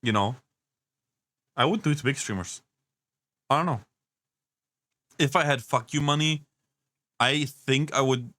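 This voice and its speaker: A man's voice: mid-range voice, a bit bright, no room sound. A man doing casual reaction commentary, incredulous and rambling, swearing often. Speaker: reaction streamer.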